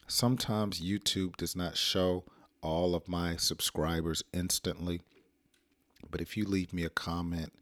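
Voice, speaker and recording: American man, hoarse voice, very low pitch, dry close sound, playful teacher. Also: little pitch movement, a little slow, a bit bright, no echo. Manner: playful, acts out short example sentences. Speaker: English teacher. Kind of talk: casual spoken English lesson.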